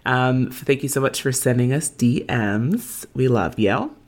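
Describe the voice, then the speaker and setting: Slow, giggly woman podcast host. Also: rumbling low, lively intonation, darkish tone. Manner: giggly, chatty, lots of fillers. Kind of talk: casual chat. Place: large empty apartment.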